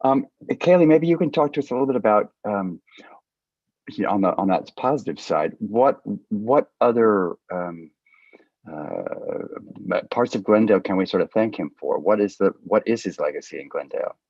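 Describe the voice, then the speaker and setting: A man's voice: lowish voice, lively intonation, normal speed, darkish tone. A man moderating a panel conversation, speaking thoughtfully and with some hesitation. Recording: echoey room, slightly dull sound.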